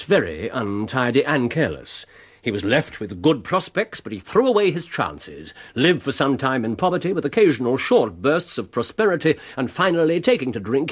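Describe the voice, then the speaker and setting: An actor playing a brilliant, restless, haughty English detective in a radio drama, precise and pedantic in a theatrical way. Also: male voice, fairly high pitch, slightly rough. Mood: coolly deductive.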